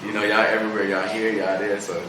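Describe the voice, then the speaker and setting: A man's voice: lowish voice, flat delivery, fast. A man chatting casually as a guest, with informal, slangy and playful phrasing. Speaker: guest, singer-songwriter. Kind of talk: casual chat. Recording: echoey room, distant mic, noisy background.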